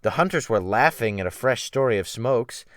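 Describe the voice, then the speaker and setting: Bright, forceful male audiobook narrator. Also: medium pitch, expressive, moderate pace, no echo, clean studio sound. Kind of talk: dramatic scripted literary narration. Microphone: close USB microphone.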